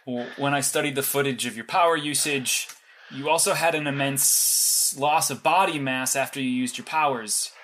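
A man's voice: mid-range voice, normal speed, pushed and bright, lightly acted. A man playing an analytical superhero mastermind in an audio drama, with a precise, explanatory way of talking. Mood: analytical, matter-of-fact. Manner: precise, explanatory, matter-of-fact. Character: cerebral analytical male superhero mastermind guiding a young intern.

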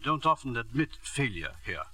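An actor playing a proud, principled headmaster in a 1940s radio drama, speaking in a formal, stiff manner. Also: male voice, mid-range voice, sing-song, normal speed, pushed and bright. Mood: stiff, pleading. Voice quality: formal and stiff, growing distraught. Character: proud, principled school headmaster, middle-aged man. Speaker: headmaster character.

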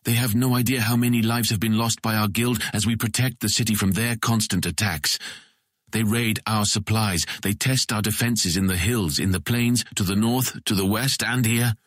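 An actor playing a proud, forthright and embittered frontier ranger in a fantasy audiobook. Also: male voice, on the low side, fairly even intonation, normal speed, dry recording, studio quality, natural voice. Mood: bitter. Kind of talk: dramatized fantasy audiobook acting.

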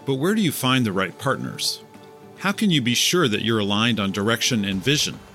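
Male podcast host, bright and forceful, polished scripted read over light music. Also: mid-range voice, a little fast. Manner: clear, even, polished delivery. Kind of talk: scripted narration and interviewing.

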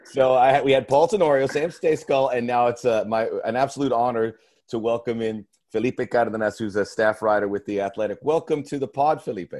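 A man's voice: medium pitch, fairly even intonation, a little fast, darkish tone. A man hosting a sports podcast, talking in an earnest, emphatic way and often restarting his phrases. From United States. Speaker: podcast host. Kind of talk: casual podcast talk.

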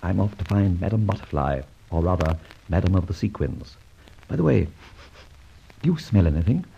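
An actor playing a suave, flippant gentleman adventurer, bantering and clipped, in an old radio drama. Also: low voice, lively intonation, moderate pace, vocal fry, a little hiss or hum. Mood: wry. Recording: muffled old radio transcription.

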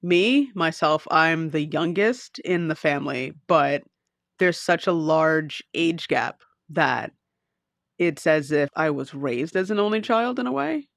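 A man co-hosts a podcast in a casual, rambling way, his fairly high voice carrying some gravelly fry. The recording is dry and close, with faint music underneath. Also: expressive, takes it slowly, a bit bright, dry recording. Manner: casual, lots of fillers and restarts. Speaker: podcast co-host.

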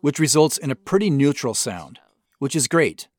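A man narrates a director's commentary as the creator of an audio drama. His mid-pitched voice moves with lively inflection. He explains his choices in a reflective way. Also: normal speed, no echo.

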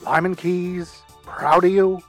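A man acts out a goofy, eccentric podcast announcer with a fairly high voice. He rambles through a comic promo with mock-earnest enthusiasm and hesitant little asides, over music. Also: flat delivery, slow pace, fairly bright tone. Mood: excited, insistent. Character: Lyman Keys, chatty goofy eccentric male podcast host. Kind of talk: comedic rambling ad read.

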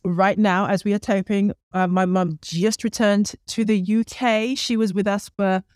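A woman raised in London with a London English accent, a podcast host telling her story as a guest in a casual interview, laughing often and pausing with fillers. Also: mid-range voice, steady tone, a little mellow, no room sound, clean studio sound. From United Kingdom.

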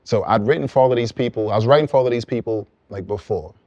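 A young man from Brooklyn, a songwriter guest, explaining his path in relaxed, reflective casual conversation. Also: mid-range voice, quick pace, husky, no room sound. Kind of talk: casual podcast chat.

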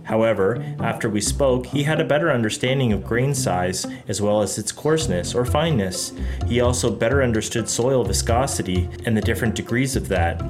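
A man's voice: mid-range voice, lively intonation, fairly quick. A man giving a plain, advisory interview voice-over. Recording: voice-over with loud music behind.

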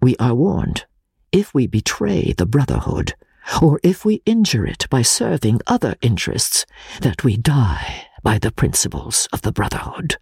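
A man's voice: medium pitch, darkish tone, breathy edge, no echo, clean studio sound, exaggerated voice. A man voicing an intense Italian political refugee confiding a dread secret, grave and oratorical, in a dramatic audiobook reading.

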